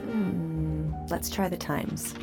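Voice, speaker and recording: A woman playing a caring, affectionate AI companion in an audio drama, speaking in a soft, intimate, soothing way. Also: low voice, steady tone, slow, over music. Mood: composed. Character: female AI companion assistant, caring, affectionate, attentive.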